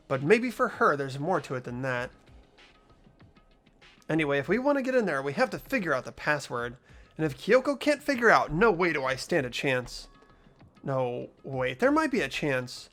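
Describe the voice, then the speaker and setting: Animated, high-pitched earnest teenage boy played by a man. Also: moderate pace. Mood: thoughtful, hesitant. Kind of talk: amateur voiced video game playthrough, dialogue and narration. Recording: music behind the voice.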